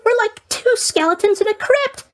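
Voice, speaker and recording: A man's voice: extremely high pitch, animated, normal speed. A man voicing a chatty, eager-to-please young god of sleep in a video game, rambling along with bubbly asides. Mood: friendly. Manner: rambling, bubbly, hesitant asides, tag questions. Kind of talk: video game character voice acting.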